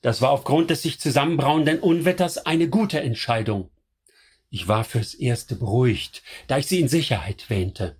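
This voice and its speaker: A man voicing a devoted young man in a radio drama, narrating in a tender, confessional way over soft music. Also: medium pitch, moderate pace, breathy edge, lightly acted. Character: young man secretly in love, anxious, devoted. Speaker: first-person narrator.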